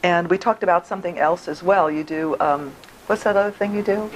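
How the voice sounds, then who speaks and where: A woman hosting an interview show, hoarse today, chatty and quick to laugh. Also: on the low side, slight background noise, low-quality recording. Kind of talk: casual interview.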